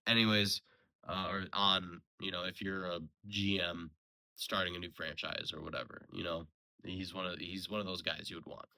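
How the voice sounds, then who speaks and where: A young man giving a casual, rambling solo sports recap, a little hoarse from a sore throat and full of fillers and restarts. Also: sits low, little pitch movement, normal speed, pushed and bright, poor audio. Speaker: sports podcast host.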